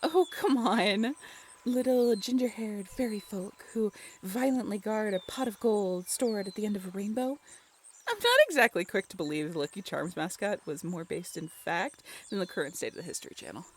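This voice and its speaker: A woman's voice: mid-range voice, lively intonation, normal speed, slight background noise, lightly acted. A young woman playing an affectionate, teasing girlfriend in a conversational romantic roleplay audio, bantering in a playful drawl. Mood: incredulous, joking. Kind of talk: romantic comfort roleplay audio, conversational one-sided dialogue.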